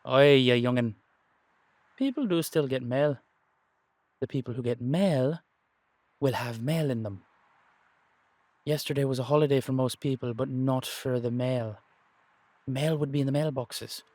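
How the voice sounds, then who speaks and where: A man's voice: medium pitch, unhurried, long pauses, a little mellow, no echo, natural voice. A man voicing a long-lived Irish warrior, a blunt, affectionately scolding mentor, in an amateur audio roleplay. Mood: explaining.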